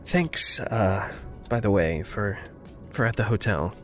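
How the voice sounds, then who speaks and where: A man acting in a naturalistic audio drama as a gentle, wry confidant with a halting, playful way of talking. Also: lowish voice, expressive, faint music behind. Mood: halting, sheepish.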